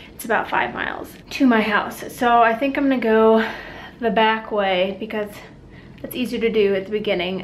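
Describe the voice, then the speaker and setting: A young woman talks chattily to a handheld camera, her voice sitting on the higher side and sprinkled with fillers. The recording is casual and noisy, with steady background hiss and room sound. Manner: chatty and upbeat, with lots of "like" fillers. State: out of breath and overheated after a run. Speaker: vlogger. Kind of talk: casual daily vlog chat. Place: small home office, kitchen, then parked car. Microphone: handheld camera at arm's length.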